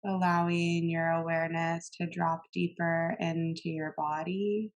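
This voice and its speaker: A woman instructor leading a guided meditation in a calm, gentle manner, giving soothing cues with long pauses. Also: lowish voice.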